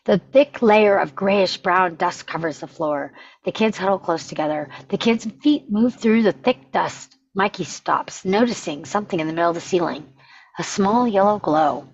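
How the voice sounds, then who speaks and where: Husky woman reading stage directions. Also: fairly low pitch, moderate pace, dull audio. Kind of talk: scripted narration at a table read.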